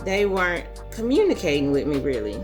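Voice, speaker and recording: A young woman, hoarse and husky, chatting casually as a beauty vlogger while telling a story. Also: fairly low pitch, a little fast. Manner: relaxed, chatty, says y'all and um often. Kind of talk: casual storytelling chit chat. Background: music.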